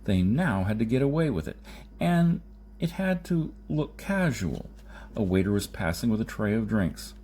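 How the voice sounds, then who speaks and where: A man's voice: fairly low pitch, very animated, moderate pace, warm tone. A man reading a science fiction story aloud as scripted audiobook narration, now and then stumbling over a phrase. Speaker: audiobook reader. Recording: noticeable background noise.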